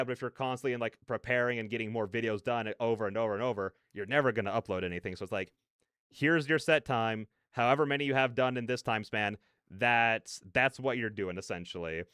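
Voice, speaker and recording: A man's voice: medium pitch, a bit bright, clear, resonant tone, no echo, clean studio sound. A man chatting casually as a livestream host, rambling in a scattered way and often interrupting himself. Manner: scattered, self-interrupting, drops playful nonsense filler sounds. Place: large, fairly empty room with soundproofing panels.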